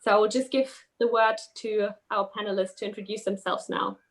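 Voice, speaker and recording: A woman's voice: mid-range voice, steady tone, fairly quick. Woman, webinar moderator, brief polite prompts, introducing panelists. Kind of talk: webinar hosting.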